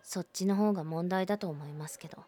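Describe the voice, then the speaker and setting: A woman's voice: on the low side, fast, mellow, close to an everyday voice. A woman playing a blunt, prickly teenage schoolgirl in a voice drama, who speaks curtly and sarcastically. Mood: cold. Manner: curt, sarcastic, cold. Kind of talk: voice drama acting.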